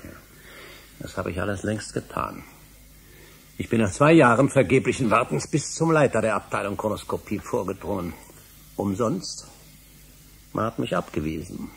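An actor playing a middle-aged history professor in a German radio drama, weary, brooding and insistent. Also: male voice, on the low side, expressive, normal speed, creaky, pauses often, slightly rough, heavily acted. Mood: insistent, formal.

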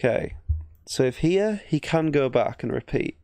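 English guy doing casual chess commentary, bit of gravel in his voice, muttering to himself, dry close mic. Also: a little high, animated, moderate pace, darkish tone, dry recording. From England. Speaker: chess streamer. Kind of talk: casual thinking-aloud game commentary.

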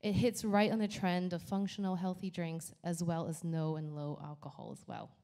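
Brief: middle-aged woman, founder pitching at an event, dark mellow tone, handheld mic. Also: fairly even intonation, moderate pace. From Canada. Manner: enthusiastic, with small restarts. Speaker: company founder giving a pitch. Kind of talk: prepared stage talk. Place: event venue with brick wall and audience. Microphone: handheld microphone.

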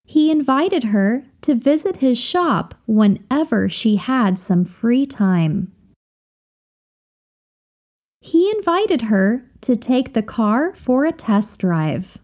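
Woman teacher reading drill lines real slow, warm mellow tone, very sing-songy. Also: fairly high pitch, sing-song. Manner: careful, deliberate, each phrase repeated for learners. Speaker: language teacher reading example sentences. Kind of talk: slow, clear drill reading of example phrases.

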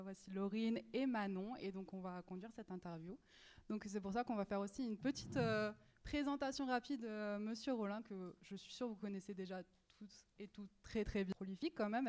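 A woman's voice: mid-range voice, a little mellow. A young woman in her twenties introducing an author and asking an interview question on stage. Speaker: student interviewer. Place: theater stage.

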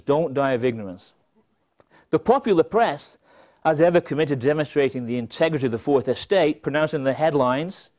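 High-pitched, animated man lecturing. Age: middle-aged. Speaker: conference speaker. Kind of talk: prepared lecture with dry humorous asides. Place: indoor stage at a conference podium. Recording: slightly dull, band-limited event recording.

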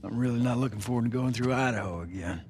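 An actor playing a weathered middle-aged survivor in a video game drama, with a folksy, easygoing manner. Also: male voice, mid-range voice, fast, darkish tone, slight background noise, close to an everyday voice. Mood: wry, easygoing.

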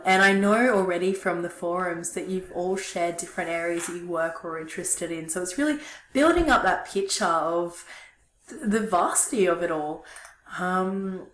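Hoarse, throaty woman lecturing. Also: fairly low pitch, echoey room. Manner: relaxed, chatty with frequent ums. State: hoarse, losing her voice. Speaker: university lecturer. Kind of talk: recorded online lecture. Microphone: webcam at desk.

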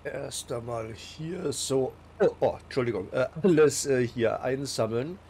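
A man's voice: mid-range voice, a little slow, a little mellow, a little hiss or hum. A man with a cold, hosting a casual let's play and talking along with many fillers and restarts. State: has a cold. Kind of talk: casual game commentary.

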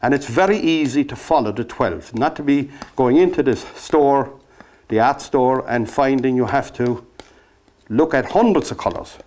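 A man's voice: medium pitch, normal speed, darkish tone. An older man with a Cork Irish accent teaching a painting class in a studio, chatty and encouraging, clipped to a lapel mic. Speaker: painting instructor. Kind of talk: on-camera painting lesson. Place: studio with easel and backdrop.

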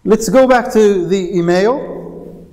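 A middle-aged man teaching English in a classroom, explaining patiently with frequent pauses and hesitations, with a Moroccan accent. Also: high voice, a little mellow, big-room sound. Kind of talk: classroom lesson explaining phrasal verbs. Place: classroom in front of a whiteboard.

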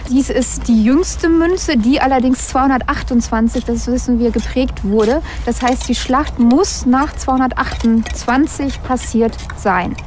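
A woman expert explains in a slightly high voice. Her delivery is that of someone presenting findings. Faint noise is audible in the background. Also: measured pace, few pauses, a little mellow. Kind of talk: explanatory talk on camera.

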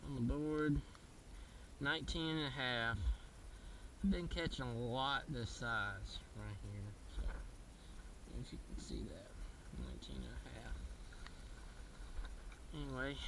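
A man's voice: medium pitch, animated, takes it slowly, pauses often. A man narrating his own fishing in a casual, exclamatory way, talking to himself and repeating his phrases, recorded outdoors on an action camera. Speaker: kayak angler filming his catch. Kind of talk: casual excited self-narration while fishing. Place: outdoors on a kayak in a marsh. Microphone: action camera, a little off from the mouth. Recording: wind-noisy outdoor action camera, distant mic.

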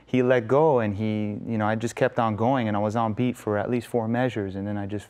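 Young guy from LA chatting in a tv studio, kinda low smooth voice, lots of "like" and "you know". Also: fairly even intonation, brisk, darkish tone. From Los Angeles. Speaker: guest musician. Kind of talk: casual interview storytelling. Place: indoor TV studio set.

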